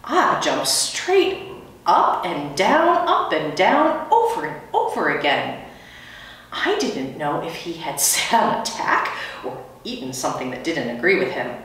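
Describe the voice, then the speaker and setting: Low-voiced woman reading a story aloud. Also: sing-song, unhurried, fairly bright tone. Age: middle-aged. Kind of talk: children's story read-aloud. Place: small indoor school room. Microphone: camera a few metres away. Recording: echoey room, distant microphone.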